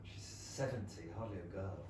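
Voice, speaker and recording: A man playing a polite, drily humorous English gentleman in a period stage comedy, speaking in a measured way. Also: sits low, close to an everyday voice. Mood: reflective. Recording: very echoey distant theatre recording, noisy.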